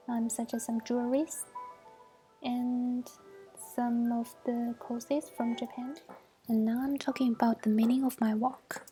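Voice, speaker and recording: Young woman, Chinese accent, native Mandarin, halting non-native English, higher voice, monotone, faint music behind. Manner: halting, with frequent fillers and soft, partly whispered stretches. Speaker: student presenting her work. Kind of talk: recorded assessment presentation. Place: at home. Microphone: basic home equipment.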